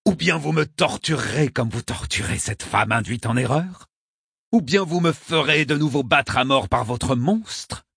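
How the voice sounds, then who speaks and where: A man's voice: on the low side, expressive, takes it slowly, creaky, few pauses, breathy edge, no room sound, clean studio sound, voice pushed up, full character voice. A man voicing a proud, defiant cardinal and grand inquisitor in an audiobook, outraged and challenging. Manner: outraged, raised, challenging delivery. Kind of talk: audiobook character acting.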